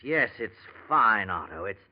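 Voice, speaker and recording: An actor playing a scheming, domineering boss in a 1940s radio drama, with a clipped, urgent manner that shows strain. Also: male voice, on the low side, very animated, a little slow. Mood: smooth, evasive. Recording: muffled old radio drama recording.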